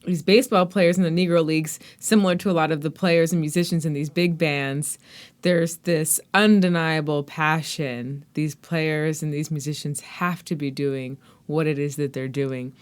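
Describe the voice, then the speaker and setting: A woman's voice: sits low, steady tone, normal speed. A woman, talking casually and conversationally as a podcast host and interviewer.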